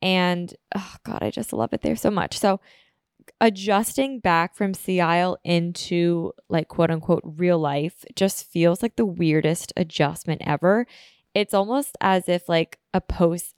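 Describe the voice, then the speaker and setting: A woman's voice: on the low side, a little slow, a little mellow, clean tone, no room sound, studio quality. A woman in her twenties hosting her own podcast alone, rambling casually with lots of fillers, a little sniffly from a cold. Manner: rambling, chatty, filler-heavy. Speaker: podcast host. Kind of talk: casual solo podcast chat.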